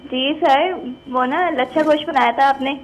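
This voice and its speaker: A woman's voice: sits high, normal speed, a little hiss or hum, rough recording. A woman from Pakistan calling in to a cooking show, politely asking the host to teach her a recipe again. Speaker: caller. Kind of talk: phone-in call. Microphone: phone line into studio.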